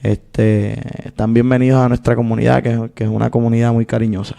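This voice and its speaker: A Puerto Rican man in his thirties speaks as an interview guest, his voice gravelly with a dark, mellow tone. He tells his story in long, earnest stretches, unhurried and full of fillers. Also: mid-range voice, little pitch movement. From Puerto Rico. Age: 30s. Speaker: guest, activist and filmmaker.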